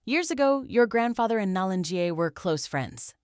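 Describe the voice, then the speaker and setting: A gentle teenage girl of a fantasy story, as voiced by a woman narrator. She is composed and quietly teasing, with very varied intonation in a dry recording. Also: medium pitch, moderate pace, clean tone, dry recording, lightly acted. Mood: calm explaining. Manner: soft, calm, composed. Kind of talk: audiobook fantasy character dialogue.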